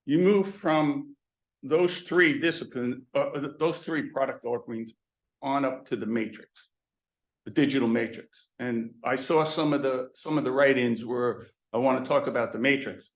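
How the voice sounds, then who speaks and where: A man's voice: medium pitch, moderate pace, dull audio. An older man presenting on theater intercoms in a webinar, talking in loose runs with many restarts and fillers. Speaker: intercom industry educator.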